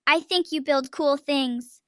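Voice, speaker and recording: Little kid in an audio drama, super high voice, shy n curious, asks stuff kinda hesitantly. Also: female voice, slow, fairly bright tone, dry recording, studio quality. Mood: shyly excited. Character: curious small child, shy and admiring. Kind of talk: audio drama character acting.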